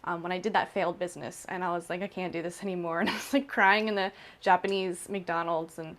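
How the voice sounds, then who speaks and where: A woman's voice: lowish voice, steady tone, quick pace, a bit bright. A woman in her thirties speaks casually to camera about her own working life. She talks in a candid, chatty way, full of "um" and "like", and repeats herself for emphasis. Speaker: entrepreneur in Okinawa. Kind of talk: casual personal talk to camera.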